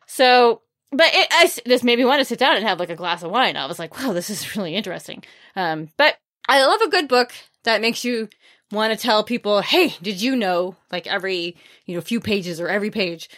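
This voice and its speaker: A woman's voice: mid-range voice, animated, brisk, fairly bright tone. A woman hosting a podcast, chatting casually and informally through book recommendations with wry, understated asides. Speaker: podcast host reviewing books.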